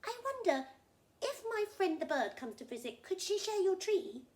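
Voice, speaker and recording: A woman's voice: very high-pitched, very animated, normal speed, darkish tone, pitched above the performer's own voice. A woman voicing an emotional, repentant crocodile in a children's story, pleading and stammering as it exclaims. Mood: eager, apologetic.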